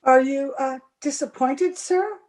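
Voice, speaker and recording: Young gentlewoman for radio play, played by a woman: very high voice, unhurried pace, prim, earnest, defiant. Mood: shy, smitten. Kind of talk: old-time radio drama acting.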